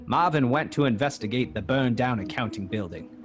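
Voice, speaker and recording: A man's voice: medium pitch, expressive, a little fast. A man giving scripted mock-noir narration for a comedy radio drama. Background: music.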